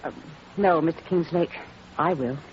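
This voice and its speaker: A woman's voice: low voice, moderate pace, darkish tone, lightly acted. A woman playing a polite, long-suffering young niece in a 1940s radio drama, refined and restrained. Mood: exasperated. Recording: muffled radio recording, heavy hiss.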